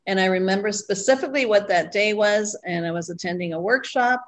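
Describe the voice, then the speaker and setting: A middle-aged woman guest, born in Costa Rica, telling her story in an interview with many pauses and fillers. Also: medium pitch. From Costa Rica, raised abroad. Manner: reflective, pausing with fillers. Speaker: guest, retreat owner.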